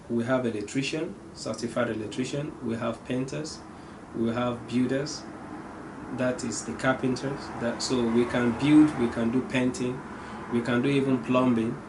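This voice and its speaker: A man's voice: medium pitch, background noise. A man from Nigeria, a company owner, answering interview questions earnestly with frequent hesitations. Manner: earnest, hesitant with many ums.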